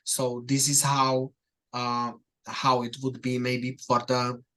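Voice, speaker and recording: A man's voice: mid-range voice, monotone, slow pace, fairly bright tone. A man talking about business coaching in a casual podcast conversation, hesitant and full of fillers.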